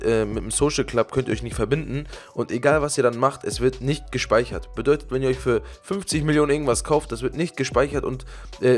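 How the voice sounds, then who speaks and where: A young man with a cold-roughened, lowered voice chatting casually to his viewers over gameplay, with frequent fillers. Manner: fast, chatty, many fillers. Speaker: gaming YouTuber.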